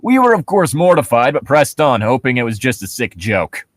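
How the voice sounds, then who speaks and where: A man's voice: medium pitch, very animated, normal speed, dry recording, studio quality. A man co-hosting a comedy podcast, casually riffing on horror stories in a profane, sarcastic, ranting way. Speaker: podcast co-host.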